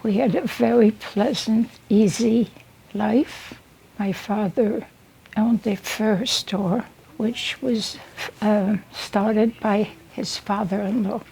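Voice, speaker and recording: A woman, gently recounting her childhood. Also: medium pitch, fairly even intonation, takes it slowly, vocal fry, husky, low-quality recording. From France. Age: 80s. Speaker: interviewee.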